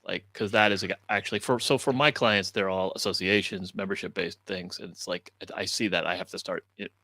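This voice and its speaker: A man with a low voice hosts in an informal, chatty way. The sound is dry and close as he puts questions to his guest. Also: steady tone, brisk, sharp tone, no room sound. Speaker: host, moderator. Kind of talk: casual chat, interviewing.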